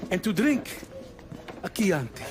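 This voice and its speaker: An actor playing an effusive, fawning Italian restaurant owner in a video game, gushing and deferential, with an Italian accent. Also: male voice, high voice, big pitch swings, unhurried, over music. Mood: effusive, welcoming. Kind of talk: cinematic video game dialogue.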